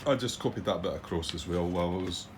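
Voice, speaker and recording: Rambling Scottish man, lowish voice. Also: fast, noisy background. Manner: rambling, hesitant, lots of ums and restarts. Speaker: board wargame channel host. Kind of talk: casual commentary on a solo board game playthrough.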